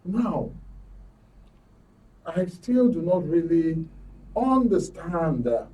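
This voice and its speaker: A man's voice: on the high side, very animated, extremely slow, warm tone, far from the mic, low-quality recording. A man with a Nigerian accent hosting a current affairs panel discussion. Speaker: radio and TV host.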